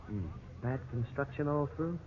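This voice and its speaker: An actor playing a frustrated, indignant young husband in an old radio drama, blunt and plain-spoken. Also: male voice, medium pitch, normal speed, natural voice. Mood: dismayed. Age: young adult. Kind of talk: 1950s radio drama acting. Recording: tinny, noisy old radio broadcast.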